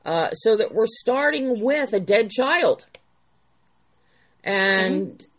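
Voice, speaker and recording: Slow-speaking woman interviewer. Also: mid-range voice, expressive, a bit bright. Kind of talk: interview questions.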